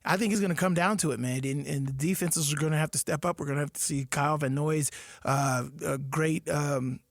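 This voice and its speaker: Man, sports talk radio co-host, enthusiastic, thinks out loud, higher voice, dry studio sound. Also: a little fast, creaky, dry recording. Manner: excitable, enthusiastic, thinks aloud. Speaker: fill-in co-host.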